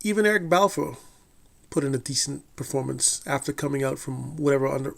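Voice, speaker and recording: A man delivering a prepared television review at a steady, mid-range pitch. His reading is measured and deliberate, and he now and then trips over a word and starts it again. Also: creaky. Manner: measured, deliberate delivery with occasional slips and restarts. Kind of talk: scripted review read aloud.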